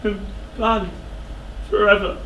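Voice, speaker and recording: Guilt-racked king for stage tragedy, male actor: high voice, halting, overwrought delivery, faint noise behind. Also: level tone, bright, forceful tone, heavily acted. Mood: anguished confession. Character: anguished king, haunted by guilt and dread. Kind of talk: classical tragedy stage acting. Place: indoor theatre stage. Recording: echoey theatre stage, clear background noise.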